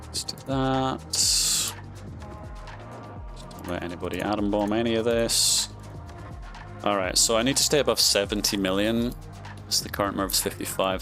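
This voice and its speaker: A man with a lowish voice talks through a strategy game as he plays, thinking aloud with plenty of ums and half-finished restarts. He breaks into sudden surprised outbursts when something catches his eye. A faint music bed plays under his voice. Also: gravelly, fairly bright tone. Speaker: gaming commentator. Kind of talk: casual strategy game commentary.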